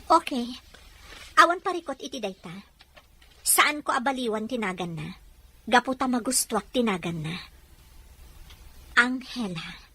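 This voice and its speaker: Woman acting high, lively, kindly wife. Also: a little slow, pauses often, slightly rough, heavily acted. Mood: warm, assuring. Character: kindly married woman taking in a baby. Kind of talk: Ilocano audio drama acting.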